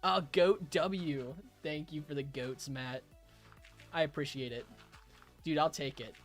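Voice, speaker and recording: A hoarse young man live-streaming a game, chatting casually with viewers, chuckling and thanking them. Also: on the high side, measured pace, faint music behind. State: hoarse, raspy throat.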